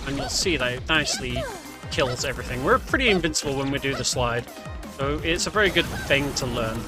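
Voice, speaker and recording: A man's voice: mid-range voice, expressive, brisk. A man giving casual, explanatory commentary on a video game walkthrough, talking the viewer through as "we". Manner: explanatory, speaking as "we". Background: game music.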